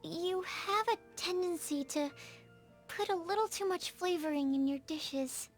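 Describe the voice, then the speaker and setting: A woman's voice: very high-pitched, unhurried, soft background music. A woman voicing a perky, friendly, caring young girl in a video game. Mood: helpful. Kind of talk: video game character acting.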